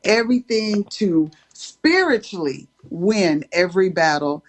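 Female co-host, excited and grateful, unhurried delivery. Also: fairly low pitch. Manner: enthusiastic, warmly thankful. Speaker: podcast co-host. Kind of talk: casual chat with preaching tone.

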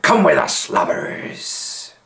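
A man voicing a dirty, nasty old pirate henchman with a stage pirate accent, drawing words out menacingly. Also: a bit bright. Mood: threatening. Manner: menacing, drawn-out delivery.

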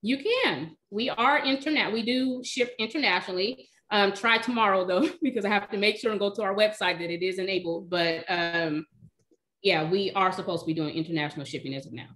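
A woman in her thirties answering cooking questions in a relaxed, chatty way on a video call from her home kitchen. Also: mid-range voice, fairly quick. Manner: relaxed, chatty, explains by eye. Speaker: cooking demonstrator, recipe blogger. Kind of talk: casual live Q&A. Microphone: video call, webcam.

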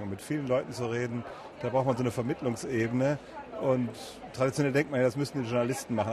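A man giving informal, spontaneous answers as an interview guest. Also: mid-range voice. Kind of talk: casual spoken interview answers. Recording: busy crowd at an event.

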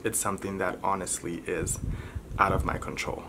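A man in his twenties talking candidly to camera as a vlogger, halting and pausing often between short phrases. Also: low voice, level tone. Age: 20s. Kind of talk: candid personal talk to camera. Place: bedroom, indoors. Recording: clearly noisy room recording.

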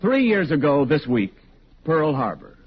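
A man's voice: a little high, very animated, measured pace. A man reading scripted introductions as a 1940s radio announcer. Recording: dull, faintly noisy 1940s radio recording.

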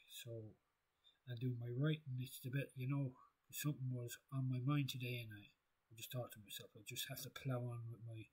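A man with a husky voice giving a rambling philosophical monologue, halting and repeating himself as he thinks aloud. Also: mid-range voice, rough recording. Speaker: video creator.

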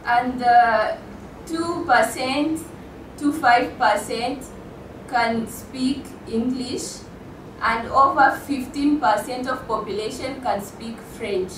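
A young woman from Rwanda, speaking English as a second language after Kinyarwanda, gives a slow, careful talk with many pauses. Her voice is fairly high, and she sounds a little distant from the mic. A roomy hall echo and a steady hiss sit behind her. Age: young adult. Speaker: student speaker. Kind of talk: prepared speech at a college event. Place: stage in a college hall. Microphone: stand mic in front of her. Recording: echoey hall, from a distance, with hum.

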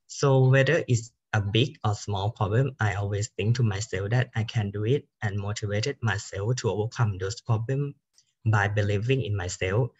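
A man's voice: fairly low pitch, darkish tone, breathy edge, highs cut off. A man, a student giving prepared, formal interview answers over a video call. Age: 20s. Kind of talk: rehearsed job interview answers.

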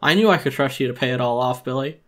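A man's voice: medium pitch, fast talker, fairly bright tone, natural voice. A man voicing a pushy, falsely friendly raccoon shopkeeper in a dramatic reading, wheedling and unctuous. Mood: smarmy, welcoming. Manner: unctuous, wheedling, tacked-on questioning tags. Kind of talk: dramatic storybook reading of a video game character.

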